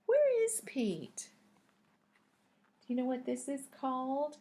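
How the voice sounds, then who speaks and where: A woman's voice: high-pitched, huge pitch swings, takes it slowly, mellow. A woman reading a picture book aloud to young children in a teacherly way, putting questions to the listeners.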